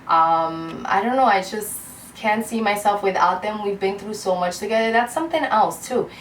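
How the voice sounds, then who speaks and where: A woman's voice: medium pitch, moderate pace. Woman in her twenties, roomy distant sound. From Cuba. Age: 20s. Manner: rambling, candid and heartfelt. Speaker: beauty vlogger. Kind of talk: casual chat to camera. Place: room at home. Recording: roomy echo, off-mic, faint hiss.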